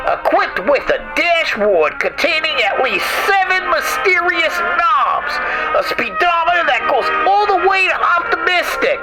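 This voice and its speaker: A man voicing an over-excited used-car salesman in a 1940s-style radio ad parody, pitching loudly and eagerly. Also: exaggerated voice, poor audio. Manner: loud, hyped pitchman shouting.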